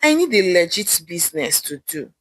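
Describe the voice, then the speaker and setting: A woman storyteller voicing an excitable, hopeful young woman in a Nigerian accent, exclaiming and talking things over to herself. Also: lowish voice, moderate pace. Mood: pondering.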